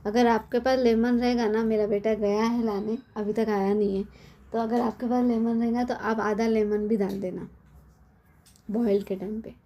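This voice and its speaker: A middle-aged woman with a hoarse, cold-worn voice, chatting casually as she explains a home recipe step by step in her kitchen. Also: on the high side, little pitch movement, moderate pace, dark tone. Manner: chatty, explains step by step with frequent tag questions. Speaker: cooking channel host. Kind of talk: casual cooking tutorial.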